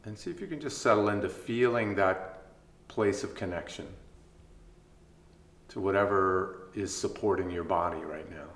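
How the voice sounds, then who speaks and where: A man leading a guided body scan meditation as a mindfulness instructor, speaking gently with unhurried pauses between phrases. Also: low voice. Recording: echoey room, faint background noise.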